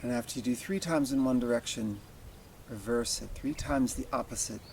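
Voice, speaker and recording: A man leading a guided meditation as a teacher, speaking in slow, deliberate phrases with long pauses. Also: medium pitch, gravelly, darkish tone, slight background noise.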